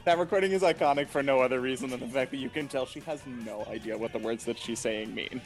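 A man's voice: mid-range voice, fast talker, music in the background. Enthusiastic male co-host. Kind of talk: casual chat.

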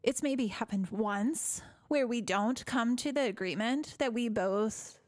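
A loud, expressive woman hosting a faith podcast, her voice pitched fairly high and recorded dry and close. She laughs heartily and slips into little acted-out voices when quoting people. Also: unhurried, dry recording. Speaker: podcast host. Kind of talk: casual faith podcast chat.

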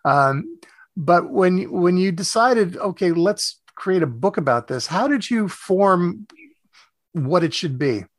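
A man's voice: high voice, lively intonation, slow, no room sound. A man hosting a conversational music interview, feeling his way through meandering, hesitant questions. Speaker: radio host, interviewer.

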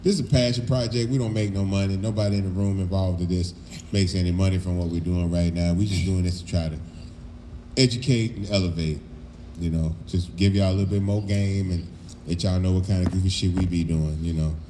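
A man's voice: low-pitched, fairly even intonation, fairly quick, mellow, reverberant, slight background noise. A middle-aged man hosting a podcast, talking in a laid-back, profane, storytelling way. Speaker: podcast host. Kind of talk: casual podcast chat.

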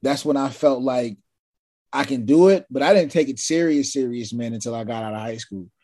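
A man from California hosting a casual interview, relaxed and easygoing. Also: mid-range voice, a little fast, no echo. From California, United States. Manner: relaxed, easygoing, short agreements. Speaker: podcast host.

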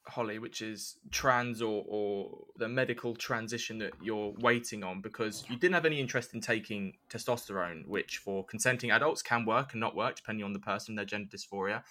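A man's voice: fairly low pitch, pushed and bright. A man hosting a podcast interview, laying out prepared questions in careful, formal phrasing.

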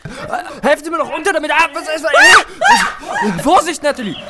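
An actor playing a bookish, fussy, excitable ornithologist in a radio drama, with a pedantic, polite and hesitant way of talking. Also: male voice, very high-pitched, normal speed, heavy background noise, exaggerated voice. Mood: panicked. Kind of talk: German audio drama acting.

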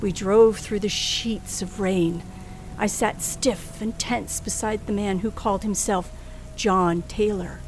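A woman's voice: medium pitch, fairly even intonation, slow, natural voice. An actress playing a frightened, tense woman traveler with a dramatic, hesitant delivery in an old radio thriller. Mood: tense narration. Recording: faintly noisy old radio recording.

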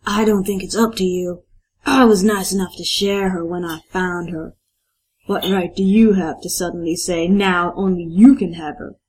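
Woman putting on a husky, stern male vampire. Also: on the low side, fairly even intonation, moderate pace, a little mellow, voice pushed down, poor audio. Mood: challenging. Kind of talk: character dialogue read aloud from a story.

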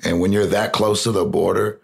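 A man's voice: sits low, fairly even intonation, brisk, creaky, husky. A young man from South Texas, a guest in a casual interview, rambling with lots of "like" fillers and swearing.

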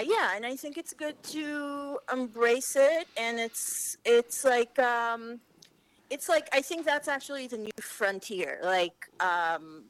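A woman's voice: mid-range voice, poor audio. Agreeable woman in her forties, chatting. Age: 40s. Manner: agreeable, repeats "right" and "yeah", searches for words. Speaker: podcast guest. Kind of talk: casual chat.

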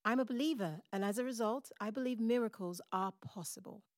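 A woman's voice: on the high side, brisk, no echo, studio quality, close to an everyday voice. A woman playing a loyal, believing middle-aged mother in an audio drama. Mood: cautiously accepting.

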